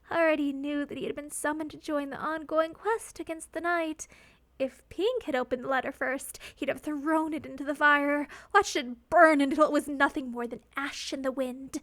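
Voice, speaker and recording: A woman narrates in a very high register. She reads scripted fan fiction as a storyteller, with a dramatic delivery. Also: fairly bright tone.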